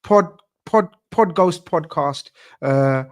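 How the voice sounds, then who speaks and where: A man's voice: fairly high pitch, slow pace. A young man hosting a casual live football chat show, upbeat, repeating phrases and reading out viewer comments. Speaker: show host.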